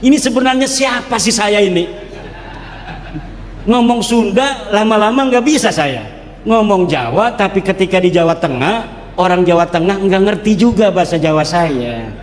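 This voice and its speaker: A male preacher with a high voice speaks informally in a big reverberant hall. He comes across as boastful, jokey and combative. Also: animated, moderate pace. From Banten, Indonesia. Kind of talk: informal public speech mixing regional languages. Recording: echoey hall with long reverb.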